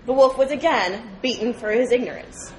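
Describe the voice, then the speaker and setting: A woman's voice: a little high, moderate pace, low-quality recording. A woman reading scripted animal fables aloud as a storyteller at a public program.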